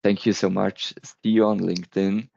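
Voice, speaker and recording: A man's voice: low-pitched, flat delivery, moderate pace, gravelly, darkish tone, dull audio, a little hiss or hum. A man in his thirties with a German accent, giving a recorded talk, earnest and sometimes stumbling over words. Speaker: presenter.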